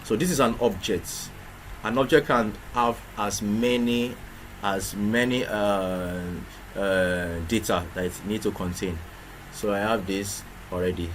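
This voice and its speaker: A man's voice: fairly low pitch, a little slow. A man teaching programming in a screen-recorded tutorial, explaining step by step with frequent restarts and rhetorical questions. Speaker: programming instructor. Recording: clear background noise with keyboard typing.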